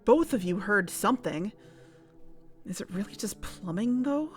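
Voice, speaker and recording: Woman acting as level headed podcast cohost, practical, matter of fact, mid pitch, audio drama. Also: lively intonation, measured pace, close to an everyday voice. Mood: skeptical, practical. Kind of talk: audio fiction podcast dialogue.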